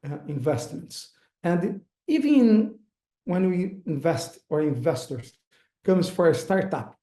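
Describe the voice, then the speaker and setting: Brazilian-accented man, high voice, echoey. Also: mellow. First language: Portuguese. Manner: halting, searching for words, frequent uh fillers. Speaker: investor and webinar speaker. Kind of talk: webinar presentation.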